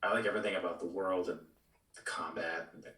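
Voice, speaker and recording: A man chatting casually about video games as a podcast co-host, halting and tentative, often repeating his words. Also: low-pitched, fairly even intonation, sharp tone. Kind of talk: casual video game discussion. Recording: roomy echo, distant from mic.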